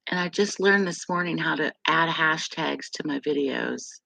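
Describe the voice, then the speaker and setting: A middle-aged woman from West Virginia with a deep, gravelly voice, hosting a casual live chat with viewers, rambling and laughing often. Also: normal speed, pushed and bright, no room sound, rough recording. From West Virginia, USA. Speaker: live stream host.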